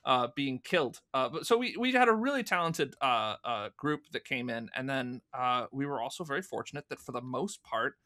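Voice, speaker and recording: A man's voice: medium pitch, lively intonation, normal speed, few pauses, bright, forceful tone. A man speaking as an interview guest, explaining things in detail and with enthusiasm in a conversational way. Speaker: guest, fan audio drama producer.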